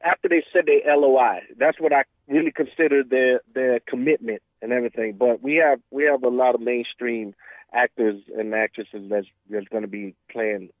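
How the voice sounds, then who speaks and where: A man raised in Miami, a film writer and producer calling in as a guest, talking casually in a halting way, repeating words and chuckling. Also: medium pitch, steady tone, no room sound. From born in Brooklyn, raised in Miami. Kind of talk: casual radio phone interview. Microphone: phone. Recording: tinny phone line, dry.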